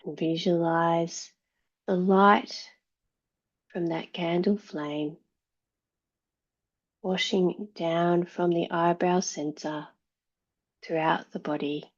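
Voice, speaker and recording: A woman yoga teacher with a lowish voice guides a meditation at an unhurried pace. Her delivery is calm and soothing, leaving long pauses between short phrases. The recording is a little dull and muffled.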